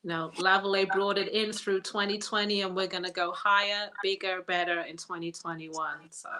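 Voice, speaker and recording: Middle-aged woman w/ a mixed english-american accent, bright clear tone, cohosting a live stream. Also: medium pitch, steady tone, moderate pace, low-quality recording. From England. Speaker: co-host and hat maker. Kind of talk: casual live-stream chat.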